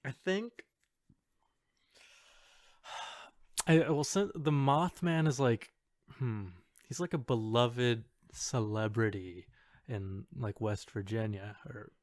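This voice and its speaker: A man co-hosting a podcast in casual banter, halting and joking as he thinks aloud. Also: mid-range voice, no room sound, clean studio sound. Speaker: podcast co-host.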